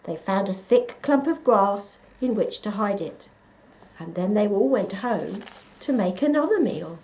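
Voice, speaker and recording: A woman reading a children's picture book aloud, with expressive, dramatic emphasis for young listeners. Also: mid-range voice, unhurried, poor audio. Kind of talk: expressive scripted story narration.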